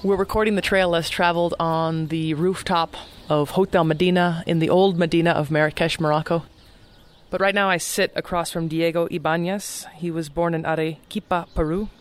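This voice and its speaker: A man's voice: fairly high pitch, steady tone, moderate pace, clean tone. A male host introducing his guest in an earnest, formal way. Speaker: podcast host. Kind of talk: interview hosting. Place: hotel rooftop in an old city.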